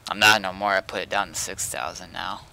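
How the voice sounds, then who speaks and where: A man's voice: low voice, steady tone, fairly quick, vocal fry, sharp tone, smooth. A man in casual conversation, speaking tersely and informally as a game streamer. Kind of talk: casual chat.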